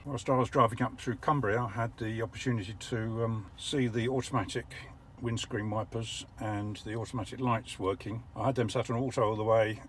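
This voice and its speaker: An older English man explaining how an electric car works from the driver's seat, measured and a little wry. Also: on the low side, fairly even intonation, slight background noise. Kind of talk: casual vlog commentary. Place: parked car.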